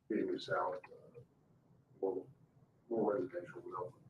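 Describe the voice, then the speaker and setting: A man's voice: low voice, little pitch movement, moderate pace, highs cut off. A man giving an informal development report at a public meeting, in an echoey room away from the mic. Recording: echoey room, distant mic, faint noise.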